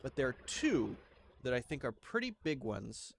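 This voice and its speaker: A man from the United States giving a pronunciation lesson, demonstrating the sounds out loud. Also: mid-range voice, moderate pace, creaky, a little hiss or hum. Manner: demonstrates sounds, lively delivery. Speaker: accent coach.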